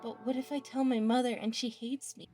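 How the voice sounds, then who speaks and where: Girl voice actor doing nervous high school student, mellow n hesitant, emotional audio drama, music under. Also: level tone, brisk, close to an everyday voice. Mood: emotional, grateful. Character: anxious, earnest high school student confiding in a mentor. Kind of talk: dramatic reading of fan fiction.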